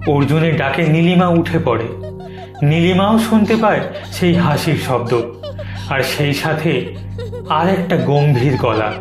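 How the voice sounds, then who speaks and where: A male storyteller reads a scripted horror tale. His voice is slightly high and suspenseful, delivered dramatically with echo and soft music underneath. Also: animated, a little slow, long pauses. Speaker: story narrator. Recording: roomy echo.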